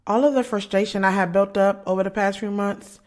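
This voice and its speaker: A woman's voice: medium pitch, fairly even intonation, a little fast, a little mellow, natural voice. An actress with an American accent playing a blunt, sarcastic young woman writer, narrating her love life in a slangy, conversational audio drama style. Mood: reflective. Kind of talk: first-person scripted narration in an audio drama. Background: music under the voice.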